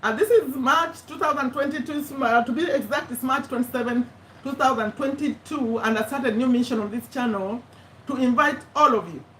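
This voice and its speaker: A woman's voice: sits high, brisk, fairly bright tone, a little hiss or hum. A woman, chatting casually to viewers on a live stream, often correcting herself and laughing. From Uganda. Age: 50s. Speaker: YouTube live host, business owner. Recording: echoey room, mic at a distance, faint ambience.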